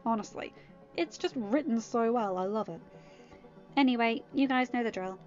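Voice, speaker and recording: A woman giving an expressive scripted narration of a story, as a podfic reader. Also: a little high, moderate pace. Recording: slightly dull recording. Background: music.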